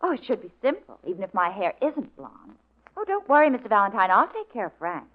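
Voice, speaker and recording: An actress playing a wry, coquettish young American assistant with an arch, playful manner, in a 1940s radio drama. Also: female voice, mid-range voice, big pitch swings, a little fast, close to an everyday voice. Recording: muffled vintage radio recording.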